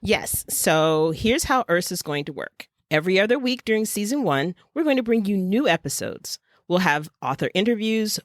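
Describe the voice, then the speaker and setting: A woman's voice: lowish voice, no room sound, studio quality. An American woman co-hosting a podcast, chatting casually about books and writers. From Jacksonville, Florida, USA. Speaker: podcast co-host. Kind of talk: casual literary chat.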